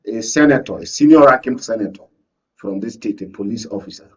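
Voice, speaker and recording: A man's voice: fairly low pitch, expressive, brisk, a little mellow, slightly rough. A Nigerian man speaking as an analyst in a political panel discussion, emphatic and punctuating his points with rhetorical questions. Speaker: panel guest, media analyst. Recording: distant mic, dull lo-fi sound.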